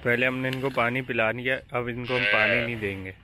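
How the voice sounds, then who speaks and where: A man's voice: mid-range voice, brisk, a little hiss or hum. A young man giving casual, matter-of-fact vlog narration to viewers while walking around an outdoor cattle farm, recorded on a camera mic. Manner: flat, matter-of-fact commentary addressed to viewers. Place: outdoor cattle farm under shade sheds.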